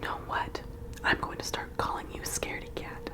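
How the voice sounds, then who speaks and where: A woman voicing a teasing, excitable prankster boy in a breathy whispered storybook reading. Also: normal speed, close to an everyday voice. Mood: smug teasing.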